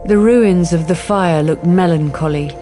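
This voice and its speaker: A woman's voice: fairly low pitch, a little slow, warm tone, faint music behind. A woman reading scripted literary narration for a radio drama.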